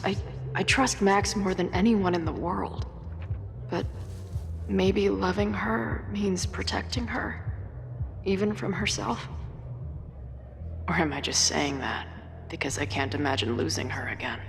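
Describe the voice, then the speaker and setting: An actress playing a guarded, tender woman torn over someone she loves, speaking in a halting, hushed way in a video game drama. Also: on the low side, steady tone, creaky, over music. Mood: torn, anxious.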